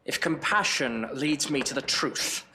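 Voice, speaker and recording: A man playing a weary, earnest Victorian gentleman in an audio drama, formal and probing. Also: medium pitch, a little slow, a little hiss or hum. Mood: pleading, insistent.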